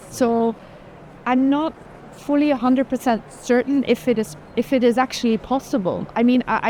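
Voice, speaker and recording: A woman with a somewhat high voice talks as a curator in an unscripted exchange. She is reflective, circling back on words and hedging her points as she goes. Faint fair noise surrounds her. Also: a little mellow. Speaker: art curator in conversation. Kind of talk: thoughtful spontaneous discussion. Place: indoor art fair, walking among stands.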